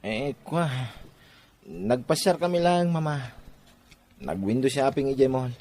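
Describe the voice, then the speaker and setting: A man's voice: medium pitch, expressive, takes it slowly, darkish tone. A man voicing a teenage boyfriend in an audio drama, stammering and evasive. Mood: pleading. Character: teenage boy Brian, Donna's boyfriend. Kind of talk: amateur audio drama acting.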